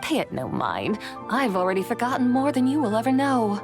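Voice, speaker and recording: A woman's voice: on the high side, big pitch swings, fairly quick, music in the background. A woman playing a proud ancient horse adeptus in a video game, speaking in formal, archaic and imperious phrases. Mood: lofty, self-assured. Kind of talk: video game character acting.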